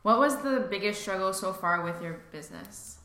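Bright young woman hosting a podcast. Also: medium pitch, expressive, normal speed. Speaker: podcast host. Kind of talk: casual interview chat. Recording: echoey room, faint noise.

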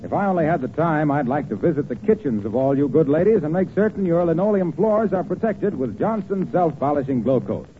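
A man's voice: a little high, animated, brisk, hardly any pauses, a little hiss or hum. A man announcing in polished 1940s radio style, with folksy, courteous scripted salesmanship. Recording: tinny 1940s radio recording.

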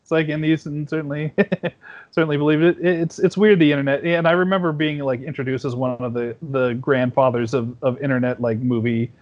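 A man's voice: mid-range voice, fairly even intonation, a little fast, a little mellow, highs cut off. A man, around his forties, talks as a podcast guest in a rambling, easygoing way, full of restarts and fillers. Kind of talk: casual conversation. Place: home at night.

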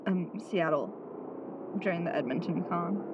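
An actress in an audio drama playing a loyal, protective friend at the wheel, wry, blunt and stressed. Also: female voice, lowish voice, normal speed, close to an everyday voice. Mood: wry, teasing. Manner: wry teasing, blunt, tense under pressure. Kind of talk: naturalistic audio drama acting. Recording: muffled, loud vehicle noise. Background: vehicle driving noise.

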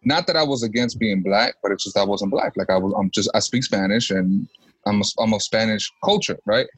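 A man's voice: lowish voice, a little fast, husky, no room sound. A man in a casual group discussion, talking emphatically in slangy street talk with swearing. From grew up in a US inner-city neighborhood, Dominican background. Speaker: panelist.

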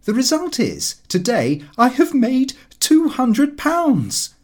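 A man's voice: very high voice, huge pitch swings, slow pace, darkish tone, pitched above the performer's own voice, heavily acted. A man voicing a brash, breezy young clerk's son full of slang and self-assurance in an audiobook. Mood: triumphant.

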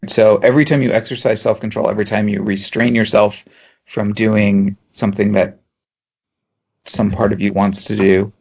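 A man, a professor co-hosting a podcast, explaining ideas in a measured way with dry humour. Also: on the low side, level tone, gravelly, darkish tone, breathy edge, highs cut off. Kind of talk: explanatory chat.

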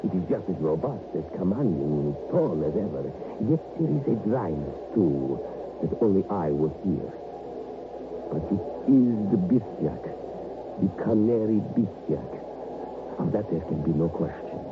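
An actor playing a solemn master musician, grave and declamatory, in an old radio drama. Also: male voice, sits low, big pitch swings, moderate pace. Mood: grave certainty. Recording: tinny old radio broadcast, noisy.

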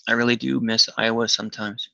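A weary, troubled man in a naturalistic audio drama. His mid-pitched voice has a creaky edge and comes out hesitant and halting, in a dry, slightly dull recording. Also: flat delivery, sharp tone, no echo. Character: weary, troubled man seeking comfort from a friend.

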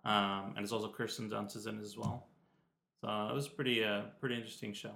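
Young man, co-host, lowish voice, sick with a cold, hesitant and meandering, echoey room. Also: flat delivery, quick pace, gravelly, pushed and bright. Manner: meandering, hesitant with many ums. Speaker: podcast co-host. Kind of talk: casual chat.

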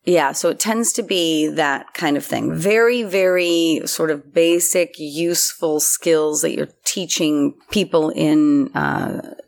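A woman's voice: low-pitched, takes it slowly, vocal fry. A woman guest in a casual interview, telling her life story in a chatty way with many fillers. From United States. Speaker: filmmaker guest.

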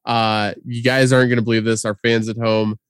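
A man co-hosting a podcast in casual chat, halting and laughing easily. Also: medium pitch, level tone, clean tone, no room sound, studio quality. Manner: halting, many fillers and restarts, laughs easily. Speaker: podcast co-host.

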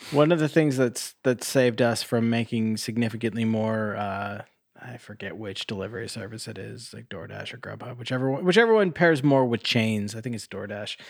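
A man's voice: fairly low pitch, moderate pace, vocal fry, dry recording, clean studio sound. A man co-hosting a podcast in casual chat, rambling along and swearing casually. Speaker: podcast co-host.

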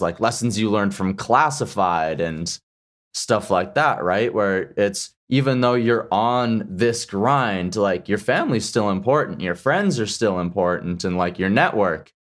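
A man interviewing someone in a casual conversational way, speaking in an affirming, appreciative manner. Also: fairly low pitch.

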